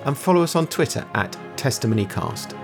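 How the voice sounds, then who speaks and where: A man hosting a faith podcast, reading a scripted intro and then asking measured, courteous questions. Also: mid-range voice, big pitch swings, gravelly, loud music behind the voice. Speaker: podcast host.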